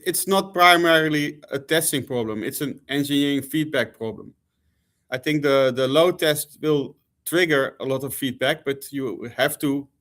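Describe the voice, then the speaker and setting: A man's voice: mid-range voice, fairly bright tone. Dutch-accented man giving a hesitant talk. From Netherlands. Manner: hesitant, many fillers and restarts. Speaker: conference speaker. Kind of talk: presentation talk.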